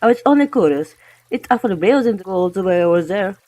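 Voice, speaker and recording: Woman as smug warrior cat, haughty, taunting, mid-pitched, dry recording, fanfic audio drama. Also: expressive, quick pace, mellow, no echo, natural voice. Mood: mocking curiosity. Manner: taunting, self-satisfied. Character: haughty, needling warrior she-cat with piercing intensity.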